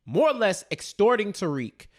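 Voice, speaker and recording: A man's voice: high-pitched, sing-song, moderate pace. A man co-hosting a casual TV recap discussion, speaking in a colloquial, slangy way and repeating phrases for stress. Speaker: podcast co-host.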